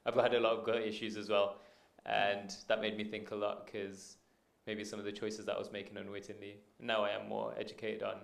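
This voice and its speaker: A man's voice: fairly low pitch, level tone, fairly quick, gravelly, few pauses. A man in the audience asking a question, hesitant with "um" and "uh".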